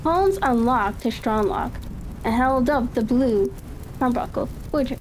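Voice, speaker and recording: Female reader, high pitch, calm ASMR narration with small stumbles, faint ambient noise behind. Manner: halting, stumbles over words. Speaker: audiobook reader. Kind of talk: tranquil ASMR story reading. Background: ambient fireplace crackle.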